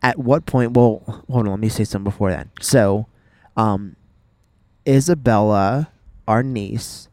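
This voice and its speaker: A young man co-hosting a podcast, chatting in a playful, animated way with frequent "um" restarts. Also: lowish voice, measured pace, no echo. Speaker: podcast co-host. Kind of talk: casual chat.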